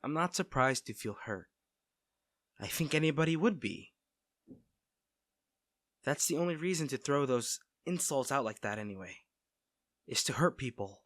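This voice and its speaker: A man playing a caring, teasing, protective best friend in a soft-spoken, conversational roleplay audio drama. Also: medium pitch, lively intonation, normal speed, long pauses, no echo, clean studio sound. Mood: indignant. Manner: fussing, tender, conversational.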